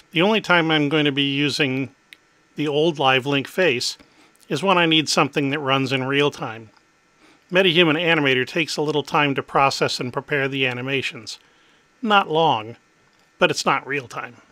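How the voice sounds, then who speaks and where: A middle-aged man explains a tech demo in a clear, methodical way. His voice is bright and a little high, and it sits dry and close with no room sound. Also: no echo. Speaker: host.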